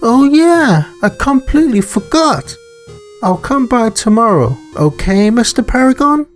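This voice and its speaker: High-pitched young boy. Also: big pitch swings, measured pace, soft background music, full character voice. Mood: sheepish. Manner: high, boyish, hesitant. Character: young boy, careless but apologetic kid neighbour.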